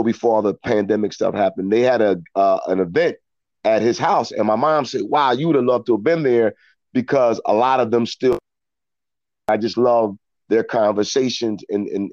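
A middle-aged American man telling reflective personal stories as an interview guest, in a halting way, often repeating words as he thinks. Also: fairly low pitch, a little mellow, no room sound, muffled recording. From Philadelphia, United States. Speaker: interview guest, actor and storyteller. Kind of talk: reflective personal storytelling in conversation.

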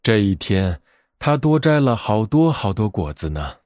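A man's voice: on the low side, sing-song, measured pace, darkish tone, dry recording, studio quality. A man narrating a scripted children's fairy tale as a bedtime storyteller.

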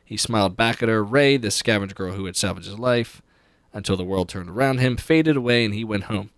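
A man's voice: on the low side, a little fast, fairly bright tone, no room sound, studio quality. A man hosting a podcast, casually recapping a book with read-aloud passages and opinionated, conversational asides. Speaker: podcast host.

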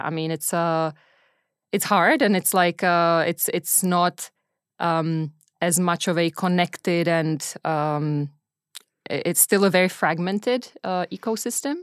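Smooth lower-pitched woman in conversation. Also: no room sound, clean studio sound. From Czech Republic. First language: Czech. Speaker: interview guest, venture capital investor. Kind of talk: casual conversational interview.

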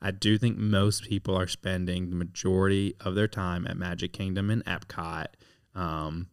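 A man's voice: low-pitched, little pitch movement, normal speed, clean tone, dry recording, clean studio sound. A man co-hosting a podcast in casual chat, rambling with restarts and frequent filler words. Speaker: podcast co-host.

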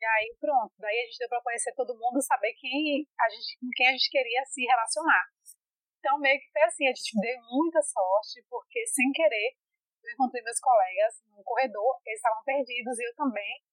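A chatty woman, telling her story in a relaxed interview. Her voice sits fairly high, and she keeps it lively with fillers and restarts. The recording is dry, with almost no room sound. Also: a little fast, dry recording, low-quality recording. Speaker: interviewee, foreign master's student in France. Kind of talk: casual conversational interview.

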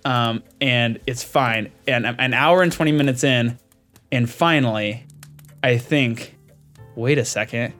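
A man's voice: medium pitch, measured pace, fairly bright tone, faint music behind. A man hosting a podcast, chatting casually about film in a rambling, anecdotal way. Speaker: podcast host. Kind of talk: casual film discussion chat.

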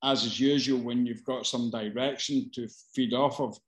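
A man, a casting director answering questions in a casual Q&A, halting with many fillers and restarts. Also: mid-range voice, fairly even intonation, reverberant. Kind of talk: casual Q&A advice.

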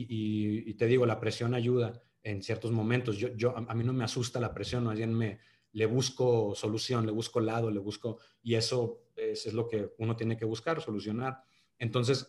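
A man's voice: mid-range voice, darkish tone. A man in his thirties with a Monterrey accent, chatting as a guest on a podcast, full of restarts and fillers. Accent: northern Mexican (Monterrey). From Monterrey, Mexico. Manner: many restarts and fillers, earnest then joking. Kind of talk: casual interview chat.

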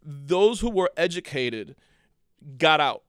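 A man's voice: on the high side, big pitch swings, slow pace, fairly bright tone, clean tone, no echo, studio quality. A middle-aged man from Cuba in a casual conversation, telling a long story with many restarts and repeated words.

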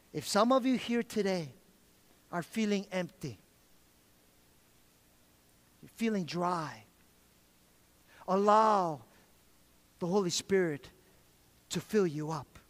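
A man's voice: high-pitched, a bit bright. A middle-aged man preaching an earnest sermon as pastor, often repeating and restarting his phrases and calling for amens. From Korean heritage. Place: large church sanctuary. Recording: long reverb of a church hall.

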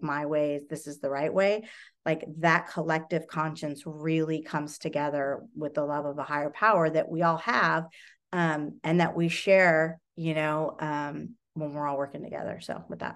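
A woman with a notably low voice shares her thoughts in a relaxed, searching way, stopping often to find the next word. She speaks into a home video call setup. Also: clear, resonant tone. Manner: reflective, searching for words with many fillers. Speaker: meeting participant sharing. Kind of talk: casual sharing in a recovery study meeting.

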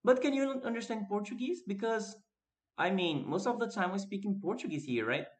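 A man's voice: high voice, expressive, moderate pace. A man from Recife with a northeastern Brazilian accent, chatting casually as he teaches a live online class and addresses his students. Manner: chatty, questioning, addressing viewers by name. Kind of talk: casual interactive lesson, reading and answering chat messages.

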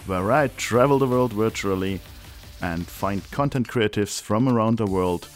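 A man's voice: on the low side, a little slow, soft background music. A middle-aged man hosting an interview over a video call, asking questions with a casual, hesitant manner. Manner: hesitant, restarts questions with fillers. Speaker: podcast host.

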